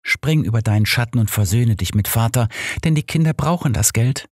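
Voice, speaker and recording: A man plays a proud woman in an audiobook drama of crime. She talks quietly, resigned yet defiant, raised a little above the narration. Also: mid-range voice, fairly quick, no echo, studio quality, natural voice. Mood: resigned, pleading. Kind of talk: audiobook character reading.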